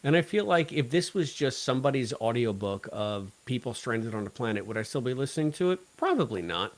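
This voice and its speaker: Guy co-hosting a casual review show, medium pitched voice, kinda wry and jokey. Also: big pitch swings, brisk. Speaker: podcast co-host. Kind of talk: casual review chat about an audio drama.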